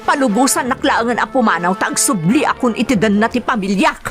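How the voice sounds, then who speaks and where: Woman acting as distressed captive, high voice, Ilocano Filipino accent, defiant, audio drama, faint music. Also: expressive, brisk, lightly acted. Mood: angry, defiant. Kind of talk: amateur radio-style drama acting.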